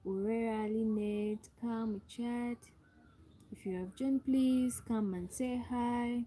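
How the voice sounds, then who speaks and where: Slow, mellow Ugandan woman livestreaming. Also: on the high side, fairly even intonation. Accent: Ugandan English. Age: young adult. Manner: repetitive, calls out to viewers and asks for thumbs up. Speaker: livestream host. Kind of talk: live stream greeting viewers.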